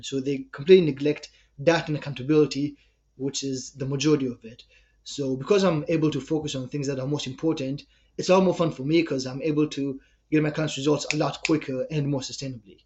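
A man's voice: on the high side, a little mellow, lo-fi sound. A young man with an Israeli accent, a personal trainer answering podcast interview questions in an earnest, explanatory way.

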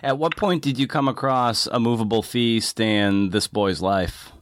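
A man's voice: medium pitch, a little slow, a little hiss or hum. A man hosting an interview podcast, talking casually with loose, informal phrasing.

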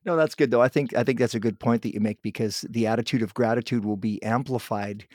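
A man's voice: medium pitch, steady tone, fast talker, darkish tone, dry recording, clean studio sound. A middle-aged man hosting a casual interview, friendly and affirming, often talking in long run-on thoughts. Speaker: interview host. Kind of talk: casual conversation.

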